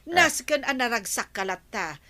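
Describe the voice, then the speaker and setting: Captain's wife, Ilocano radio comedy: nagging and coaxing, high, sharp, animated. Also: female voice, normal speed. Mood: scolding, coaxing. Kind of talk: Filipino radio comedy drama acting.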